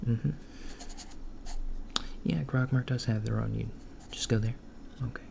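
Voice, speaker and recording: A man playing a gravelly, soft-spoken alien doctor. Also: medium pitch. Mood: gentle. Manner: soft-spoken, unhurried, slipping into whispers; hesitant, with frequent ums. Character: calm, attentive male alien doctor. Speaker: ASMR roleplay creator. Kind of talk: softspoken ASMR roleplay. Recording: lo-fi with steady background hiss.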